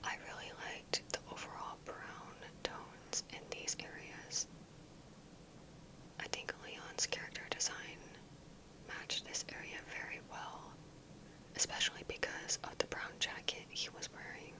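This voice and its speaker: A woman giving ASMR commentary in a soft, breathy, unvoiced whisper, with careful, measured delivery. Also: poor audio. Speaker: ASMR gaming video creator.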